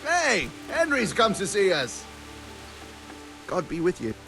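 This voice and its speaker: Breezy, animated medieval beer seller. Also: male voice, sits high, takes it slowly, over music, full character voice. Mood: hearty greeting. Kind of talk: video game dialogue acting.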